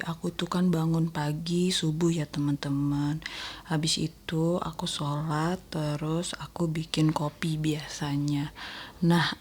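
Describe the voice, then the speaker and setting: A woman with a congested, nasal voice, gently narrating a casual voice-over vlog about her day to her viewers. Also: low voice, husky. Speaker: homemaker vlogger narrating own daily routine. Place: voice-over recorded separately at home.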